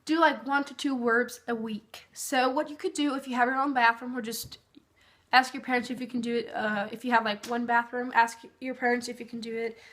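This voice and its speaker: Chatty young Norwegian woman, high voice. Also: steady tone, a little fast, a bit bright. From Norway. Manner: chatty, lots of "like" and "um" fillers. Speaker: vlogger. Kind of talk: casual how-to vlog.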